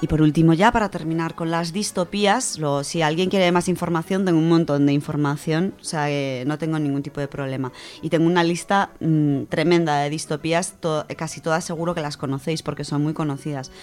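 A low-pitched woman chats on a radio show. She talks in a lively way, with fillers and frequent laughs. Faint music plays underneath. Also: brisk, few pauses. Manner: chatty, animated, laughs often, many fillers. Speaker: radio co-host presenting a literature section. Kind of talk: casual radio chat and story reading.

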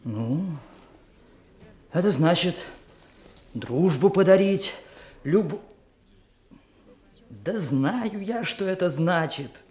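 Earnest, slow-spoken pleading young man. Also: high-pitched, lively intonation, darkish tone, exaggerated voice. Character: eager, pleading young troublemaker named Tammy. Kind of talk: 1960s radio play acting.

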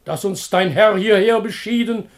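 A man's voice: sits high, normal speed. A man playing an indignant, gullible poor peasant, speaking in stately declamation in a German classical comedy radio play.

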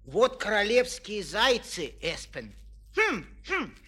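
Pompous storybook king: slow, threatening, punctuated by hums, with swooping pitch. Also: wildly swooping pitch, bright, forceful tone, breathy edge, heavily acted. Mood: haughty. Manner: pompous, punctuates speech with humming "hm". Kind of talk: children's audio play acting.

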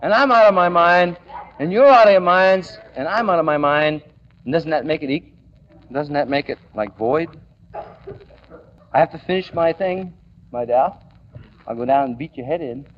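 A man's voice: a little high, moderate pace, pauses often, muffled recording, slight background noise. A man giving a rambling informal poetry recital, mock-grandiose and declamatory, breaking into banter, on an archival tape recording. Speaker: poet.

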